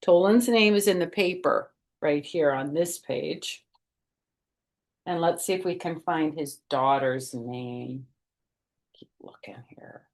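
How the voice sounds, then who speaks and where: A woman's voice: sits low, slow. A woman hosting storytime, reading a picture book aloud expressively and adding chatty asides.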